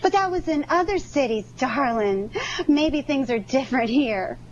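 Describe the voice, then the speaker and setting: A woman's voice: sits high, lively intonation, normal speed. A woman playing an eager, questioning accomplice of a thief in a 1940s-style radio crime drama. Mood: doubtful, questioning. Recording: dull, faintly noisy radio recording.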